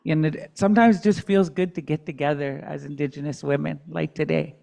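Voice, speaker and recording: A woman's voice: low voice, steady tone, a little fast, creaky, dark tone. A middle-aged woman advocate giving candid personal testimony at a community dialogue, with joking asides.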